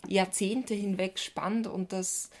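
Mid-pitched woman in relaxed podcast conversation. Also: takes it slowly. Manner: attentive, interjecting with short questions and acknowledgements. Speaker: podcast co-host. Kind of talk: casual podcast chat.

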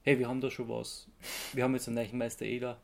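Male podcast host, Austrian accent, gravelly fry, informal explainer, halting delivery. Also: mid-range voice, flat delivery, darkish tone. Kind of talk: casual podcast chat, explaining.

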